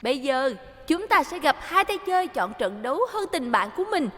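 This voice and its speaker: High, echoing TV reporter. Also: female voice, sing-song, brisk, fairly bright tone. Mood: dramatic. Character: dramatic adult female television reporter. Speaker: presenter. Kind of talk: broadcast presenting in a cartoon dub.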